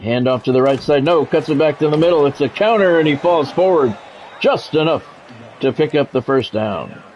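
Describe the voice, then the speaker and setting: Male game streamer, lightly higher voice, polished clipped play-by-play with casual asides, music in the background. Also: lively intonation, moderate pace, a little mellow, highs cut off, a little hiss or hum. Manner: practised radio-style play-by-play, clipped phrases. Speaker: streamer doing play-by-play of a football video game. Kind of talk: sports commentary mixed with casual chat.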